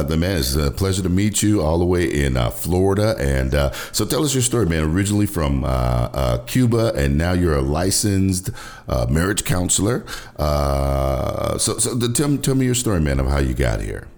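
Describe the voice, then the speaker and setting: A man co-hosting a talk show, leading an interview in a halting way with many restarts. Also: sits low, sing-song, a little mellow. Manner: halting, full of fillers and restarts. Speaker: co-host.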